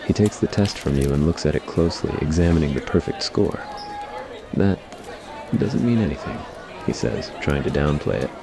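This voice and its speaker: Very deep-voiced man narrating ASMR fiction. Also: a little fast, gravelly, few pauses, a crowd in the background, noisy background. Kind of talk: scripted ASMR story narration.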